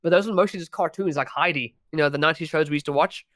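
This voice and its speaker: Young south african guy on a podcast, rambly, lotsa restarts, slightly higher voice. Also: very fast, a bit bright, no room sound, clean studio sound. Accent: South African English. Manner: chatty, restarts and laughs often. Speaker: podcast guest. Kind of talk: casual podcast chat.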